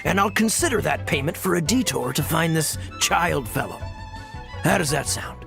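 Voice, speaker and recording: A man's voice: a little high, expressive, normal speed, vocal fry, music in the background. An actor playing a shrewd but obliging working man who haggles casually in an anime game. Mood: haggling. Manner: casual, bargaining.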